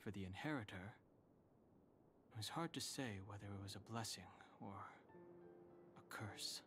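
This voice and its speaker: Man voicing a low, solemn keeper of lore. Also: natural voice. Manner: grave, measured, formal. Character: taciturn, solemn, knowing guardian of old lore. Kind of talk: video game character dialogue. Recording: prominent background music under the voice.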